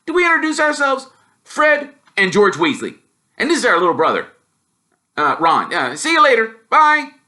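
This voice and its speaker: A man voicing cheeky, excitable teenage twin boys in a storybook, loud, eager and joking. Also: extremely high pitch, normal speed, voice pushed up, heavily acted. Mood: breezy.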